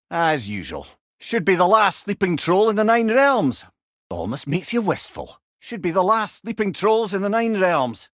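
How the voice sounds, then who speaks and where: An actor playing a wry, clever older male storyteller companion in a video game, with a Scottish accent and a droll, expressive manner. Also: on the high side, no room sound, studio quality. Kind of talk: video game character dialogue.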